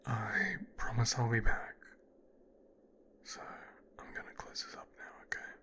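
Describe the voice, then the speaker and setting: A man playing a possessive, smooth-talking son of a mafia boss in an intimate, hushed ASMR audio roleplay. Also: medium pitch, huge pitch swings, heavily acted. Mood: soothing, possessive. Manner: slow, hushed, half-whispered delivery with deliberate pauses.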